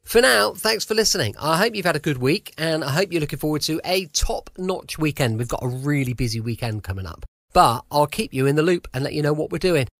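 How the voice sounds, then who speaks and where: A man hosts a radio show casually. His fairly high voice moves up and down a lot, recorded close and dry. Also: expressive, a little fast, vocal fry, a bit bright, no echo. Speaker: podcast host.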